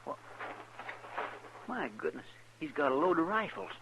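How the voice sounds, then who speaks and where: An actor with an American accent playing a folksy, good-natured marshal's sidekick, eager and easily flustered, in a 1950s radio western. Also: male voice, fairly high pitch, expressive, unhurried, creaky. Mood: folksy, concerned. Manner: folksy, polite, a little flustered. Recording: dull, noisy vintage radio recording.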